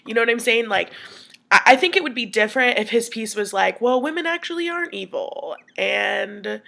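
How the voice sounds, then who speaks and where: A young woman with a sniffly cold records her class response, talking it through in a chatty way with lots of "like" and "um". Her voice is mid-pitched with a noticeable creak and fry. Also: animated, moderate pace, pushed and bright. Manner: chatty, filler-heavy, thinking aloud. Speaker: student.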